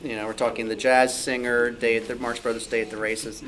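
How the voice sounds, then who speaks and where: A man's voice: mid-range voice, little pitch movement, fairly quick, a bit bright. Man telling an anecdote at a meeting. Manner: storytelling, quotes dialogue. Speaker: club meeting attendee. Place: club meeting room. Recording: slightly noisy live meeting recording.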